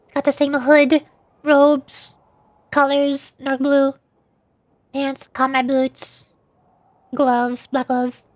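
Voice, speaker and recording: A woman's voice: high voice, dry recording. A woman streamer chatting in a playful, rambling way during a casual gaming livestream. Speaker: streamer, VTuber. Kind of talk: casual gaming livestream chat with voiced dialogue.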